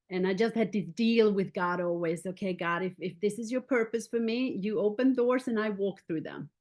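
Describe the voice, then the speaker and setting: A woman in her forties from Austria with an Austrian German accent, a pastor speaking as a podcast guest and telling her story warmly and personally. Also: mid-range voice. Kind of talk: casual faith podcast chat.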